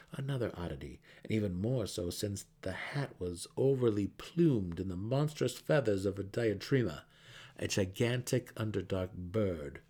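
A man's voice: deep voice, a little mellow, husky. A man reading a fantasy novel as a narrator in a theatrical, emphatic way. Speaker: audiobook narrator.

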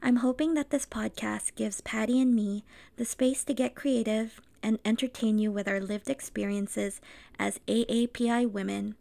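A woman in her thirties, born in the Philippines and raised in Los Angeles, reading a scripted podcast introduction as co-host in an earnest, measured way. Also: mid-range voice, creaky, a little mellow. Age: 30s.

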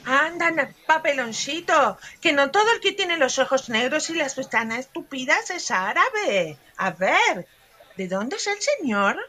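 A woman's voice: high-pitched, very animated, a little slow, sharp tone, exaggerated voice. A woman playing a sassy, teasingly affectionate wife at a bar in a humorous radio soap, brash, with an accent from Spain. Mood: brash, curious. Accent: Spanish, from Spain.

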